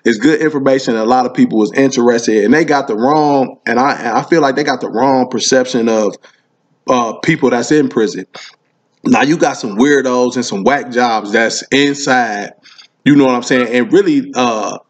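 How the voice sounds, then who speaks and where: A man's voice: medium pitch, normal speed. A man hosting a casual interview, speaking informally and repeating his phrases for emphasis. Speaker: podcast host interviewing a caller.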